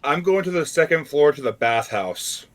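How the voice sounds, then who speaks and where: A man's voice: a little high, expressive, normal speed. A man taking part as a player in a tabletop role-playing game, talking casually about what his character does. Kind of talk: casual role-play chat.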